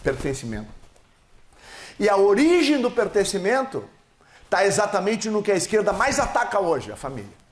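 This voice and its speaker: A man's voice: huge pitch swings, normal speed. Emphatic Brazilian man in an echoey hall. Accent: Brazilian Portuguese. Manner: emphatic, swelling and dropping in pitch. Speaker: seminar speaker. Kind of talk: political seminar speech with slides. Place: lecture hall.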